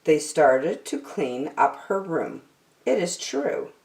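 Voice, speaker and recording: Slow, very animated woman reading to children. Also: sits low, gravelly. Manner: animated, theatrical, addressing young children. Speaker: children's storytime host and reader. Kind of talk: expressive rhyming picture-book read-aloud. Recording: roomy, echoing sound.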